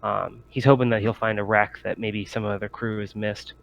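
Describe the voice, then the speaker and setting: A man's voice: fairly low pitch, flat delivery, normal speed, vocal fry, a bit bright, low-quality recording. A man working as game master, narrating a sci-fi tabletop roleplaying game in descriptive, improvised storytelling.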